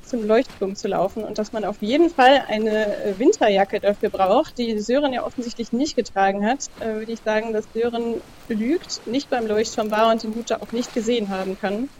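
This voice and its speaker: Female game player: somewhat high voice, deliberate questioning delivery, faint background noise. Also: darkish tone. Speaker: player, podcast listener guest. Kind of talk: casual role-play game talk.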